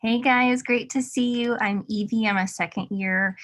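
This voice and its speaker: A second-year resident from Missouri introducing herself cheerfully on a video call. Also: female voice, on the high side, fairly even intonation, moderate pace, fairly bright tone.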